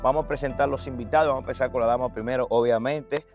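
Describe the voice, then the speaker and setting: Guy hosting an interview, upbeat, throws english words in, regular pitch. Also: racing, over music. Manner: energetic, mixes English words into Spanish. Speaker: host. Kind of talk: casual interview. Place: USA.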